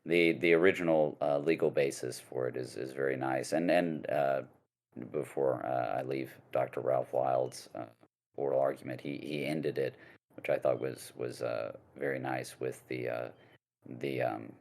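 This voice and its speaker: Super deep voiced guy, gravelly, lots of uhs and restarts, podcast guest. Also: fairly even intonation, darkish tone. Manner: halting, many fillers and restarts. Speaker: guest lawyer. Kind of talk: casual podcast discussion.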